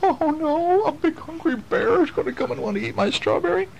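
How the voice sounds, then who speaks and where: A man voicing a tiny, timid mouse in a storybook read to children, squeaking high and panicky. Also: animated, a little fast, gravelly, darkish tone, slight background noise, exaggerated voice, low-quality recording. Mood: panicked.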